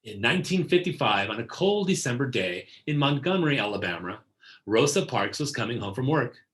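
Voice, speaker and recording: A man narrating a children's picture book in a deliberate storytelling delivery, stressing key words. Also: medium pitch, very animated, fairly bright tone. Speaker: read-aloud narrator. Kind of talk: scripted picture-book read-aloud for children.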